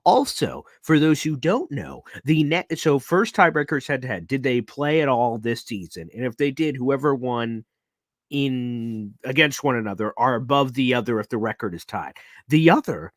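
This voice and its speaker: A man hosting a live sports talk show, walking through standings with growing excitement. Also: medium pitch, moderate pace, no room sound, clean studio sound. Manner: excitable, hyped breakdown of standings.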